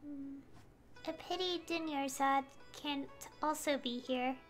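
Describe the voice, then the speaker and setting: A woman's voice: high voice, takes it slowly, soft background music. A woman game streamer chatting casually and reading dialogue aloud in a hesitant, musing way.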